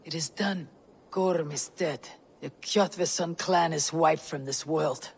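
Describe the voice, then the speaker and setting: A woman playing a stoic, weathered Viking warrior in a game, speaking in a measured, grave and formal way. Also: deep voice, creaky, darkish tone, slightly rough, full character voice. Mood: calm. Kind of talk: dramatic game cutscene acting, storytelling.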